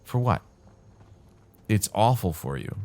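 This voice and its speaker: A man casually rambling while playing a game, his throat dry and tired, with a calm, soothing way of talking. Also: lowish voice, slow. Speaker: gaming channel host. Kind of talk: casual rambling commentary over gameplay.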